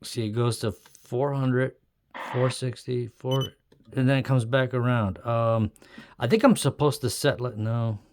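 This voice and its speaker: A man's voice: mid-range voice, steady tone, unhurried, a little mellow. A man thinking aloud in a puzzled mutter, giving casual, unscripted hands-on commentary as a hobbyist. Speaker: hobbyist demonstrating a radio. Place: indoors at a wooden tabletop. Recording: noisy room with radio static. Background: radio noise.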